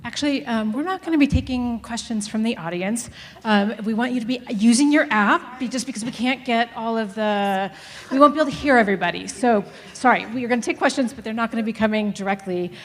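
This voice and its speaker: Brisk woman moderator in a hall. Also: fairly high pitch. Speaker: co-host and moderator. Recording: reverberant hall.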